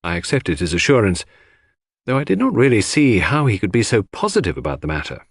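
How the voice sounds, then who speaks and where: A man's voice: on the low side, sing-song, brisk, slightly rough, no room sound, clean studio sound. A man reading a story aloud as scripted audiobook narration.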